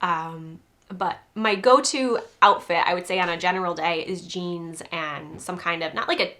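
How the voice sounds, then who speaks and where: A chatty woman talks casually to her viewers about yarn and patterns. Her voice is bright, though a little congested from a cold. She rambles easily and laughs often. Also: lowish voice, moderate pace. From New England. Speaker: crochet designer and podcast host. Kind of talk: casual chat to camera.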